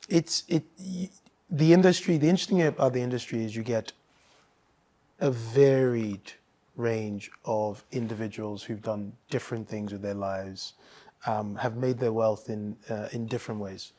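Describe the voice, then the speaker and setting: A man from Nigeria, a guest in a casual interview, talking thoughtfully with many pauses and restarts. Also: lowish voice, mellow.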